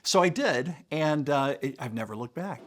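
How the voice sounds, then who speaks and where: A man's voice: a little high, lively intonation, moderate pace. A man in a casual interview, chatty and self-deprecating, often laughing at himself. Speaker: orchestra president.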